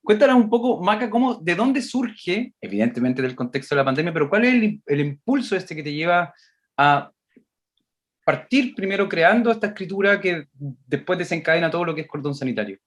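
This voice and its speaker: Higher-pitched male host, animated. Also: brisk. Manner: animated, repeats phrases for emphasis. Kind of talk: moderating a casual conversation.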